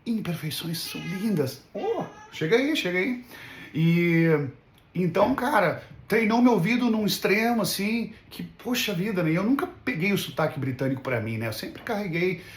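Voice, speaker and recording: A man's voice: high-pitched, expressive, moderate pace. A man talking casually as an English teacher in an unscripted vlog, rambling and often checking for agreement with little tag questions. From Brazil. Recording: roomy, echoing room sound.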